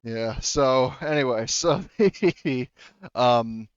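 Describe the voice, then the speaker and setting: A man with a clean, smooth voice talks casually as a game master. He rambles and breaks off his own sentences as he sets scenes. The sound is dry and a little dull. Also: medium pitch, extremely slow, dry recording. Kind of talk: casual tabletop roleplay narration and table talk.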